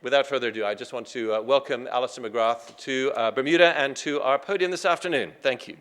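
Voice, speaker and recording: A man at a podium briefly introduces the guest speaker, pausing on fillers as he goes. His voice sits at a middling pitch. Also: fairly bright tone. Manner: hesitant, with fillers. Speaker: event host.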